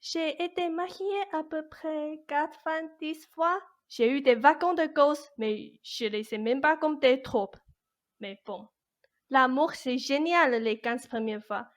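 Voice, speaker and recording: A woman's voice: sits high, a little slow. A woman acting as a jaded, ancient immortal fairy godmother in a radio play, blunt, colloquial and mischievous. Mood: weary, nostalgic. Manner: blunt, colloquial, world-weary. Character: jaded 874-year-old immortal fairy godmother, grumbling but mischievous.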